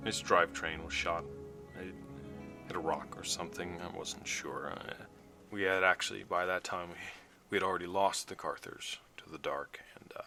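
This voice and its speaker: An actor playing a weary vehicle mechanic recording a sombre log in an audio drama, speaking in a halting, reflective way. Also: male voice, pushed and bright, full character voice. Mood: grave, regretful. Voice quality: low gravelly voice. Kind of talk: audio drama monologue, naturalistic. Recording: music running under the voice. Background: musical underscore.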